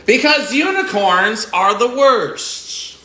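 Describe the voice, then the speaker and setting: A man's voice: very high-pitched, expressive, takes it slowly, pushed and bright, echoey room, slight background noise, voice pushed up, heavily acted. A man reading aloud as a grumpy, jealous male goblin who complains indignantly.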